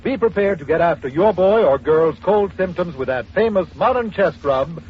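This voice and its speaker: High-pitched male vintage radio pitchman. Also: expressive, normal speed. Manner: formal, polished selling delivery. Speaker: commercial announcer. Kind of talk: 1940s radio advertising read, scripted. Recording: muffled old radio, faint hiss.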